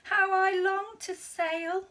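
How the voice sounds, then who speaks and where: A woman reader puts on a tiny female snail from a picture book. The voice sits high and sounds eager and yearning, as if every line matters greatly to this little creature. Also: fairly even intonation, slow, a bit bright, full character voice. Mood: longing.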